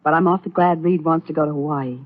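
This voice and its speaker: An actress playing a gentle, patient young bride-to-be, the heroine of an old radio soap opera. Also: female voice, low-pitched, fairly even intonation, fast talker. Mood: fond, amused. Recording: lo-fi, faintly noisy old radio recording.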